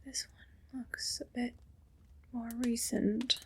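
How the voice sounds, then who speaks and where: A woman voicing a haughty, cruel evil queen in an ASMR roleplay, whispering softly and condescendingly. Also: fairly high pitch, little pitch movement, a little hiss or hum, full character voice. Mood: curious, coolly cruel. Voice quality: breathy whisper. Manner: slow, condescending, possessive.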